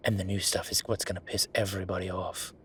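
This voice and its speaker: A man's voice: sits low, little pitch movement, fast talker, fairly bright tone, slight background noise. A man playing an angry, bitter werewolf boyfriend who vents with sweary sarcasm in a dramatic audio roleplay monologue. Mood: exasperated. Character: angry, exasperated male werewolf boyfriend, bitter office worker.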